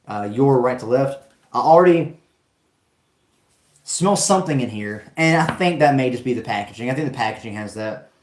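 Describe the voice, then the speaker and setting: Guy chatting on a livestream, hyped and rambly, voice wearing out, echoey room. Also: medium pitch. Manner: enthusiastic, rambling, chatty with viewers. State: voice going out from long talking. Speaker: fragrance live stream host. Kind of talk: casual live stream chat reading comments.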